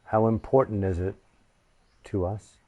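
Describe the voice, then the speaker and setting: Slow, mellow male meditation guide. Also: lowish voice, fairly even intonation, no echo, muffled recording. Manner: soft, reverent, unhurried, with long pauses. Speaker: meditation teacher.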